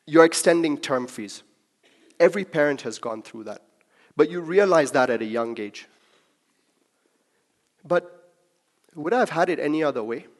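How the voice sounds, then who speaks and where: A young man from Kenya giving a personal talk to a live audience, conversational and joking with the crowd. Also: medium pitch. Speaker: speaker at a talk.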